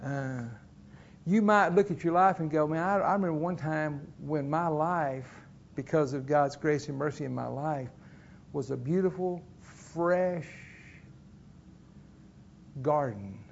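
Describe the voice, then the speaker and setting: A man's voice: a little high, a little slow, gravelly, long pauses, highs cut off, a little hiss or hum. A middle-aged man, preaching a sermon as pastor in folksy storytelling fashion. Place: church.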